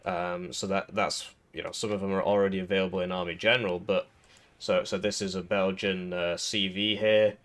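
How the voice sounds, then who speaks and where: A man's voice: deep voice, little pitch movement, moderate pace, fairly bright tone. A man with a cold, sounding a little throaty, giving casual rambling commentary over a strategy game with lots of fillers and restarts. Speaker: gaming video creator.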